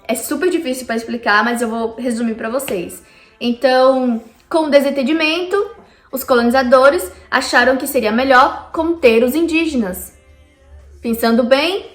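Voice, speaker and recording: A woman's voice: fairly high pitch, a bit bright, echoey room. A Brazilian woman with a Brazilian Portuguese accent, explaining history to her viewers in a chatty vlog. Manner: chatty, addresses viewers directly. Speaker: vlogger. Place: lives in Canada.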